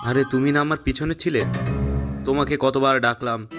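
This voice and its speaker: A man playing an anxious young villager in an animated folktale, questioning and pleading as he talks. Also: medium pitch, moderate pace, close to an everyday voice. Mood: uneasy. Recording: music behind the voice.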